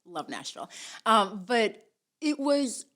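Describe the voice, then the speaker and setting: A woman's voice: on the high side, sing-song, slow, a bit bright. A woman from Somalia, a guest on an interview podcast, talking casually with many "you know" fillers and easy laughter. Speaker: guest, immigration attorney.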